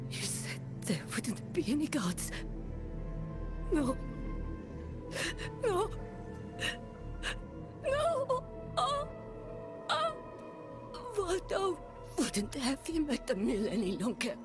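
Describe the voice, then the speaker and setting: A woman's voice: sits high, huge pitch swings, extremely slow, pauses often, full character voice. A woman playing a desperate, grieving wife in a video game, anguished and pleading. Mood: anguished, weeping. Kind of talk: video game character acting. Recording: music behind the voice.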